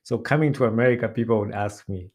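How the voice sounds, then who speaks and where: A man from Uganda, hosting a podcast in a relaxed, rambling way with many "uh" fillers. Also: on the low side, quick pace, slightly rough. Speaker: podcast host. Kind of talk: casual solo chat.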